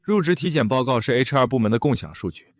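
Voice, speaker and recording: A man plays an aloof company director in an animated romance drama. He talks briskly in formal, cutting sentences, every word exacting. The sound is close and free of echo. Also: mid-range voice, lightly acted. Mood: cool, dry. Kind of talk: animated short-drama dialogue.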